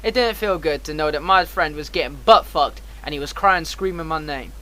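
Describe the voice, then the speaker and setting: A man with a fairly high-pitched, forceful, bright voice tells a casual anecdote. He speaks like a streamer recounting a tale to viewers, swearing freely and leaning hard on slang and emphasis. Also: normal speed.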